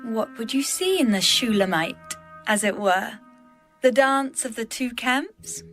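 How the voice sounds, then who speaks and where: A woman playing a young biblical maiden in a lyrical, measured dramatised scripture reading. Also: on the high side, fairly bright tone. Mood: wistful. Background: background music.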